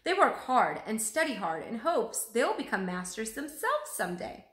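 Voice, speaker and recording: A woman's voice: fairly high pitch, big pitch swings, normal speed, a bit bright, pitched above the performer's own voice. A woman voicing an effusive, grandly eloquent male genius artist in a storybook read-aloud. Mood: confident. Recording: roomy echo.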